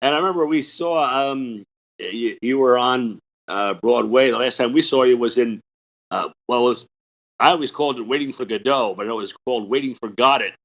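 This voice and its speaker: A man in his seventies with a hoarse, cold-roughened voice, hosting an interview in a halting, hesitant way. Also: medium pitch, fairly bright tone. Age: 70s. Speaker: host, retired pastor. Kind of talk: casual interview chat. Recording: muffled lo-fi audio.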